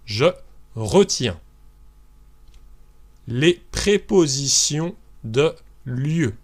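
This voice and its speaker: A male French teacher from France giving a beginners' lesson. He speaks very slowly and carefully, lays out each model sentence for learners to repeat, and his pitch moves a lot as he goes. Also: gravelly.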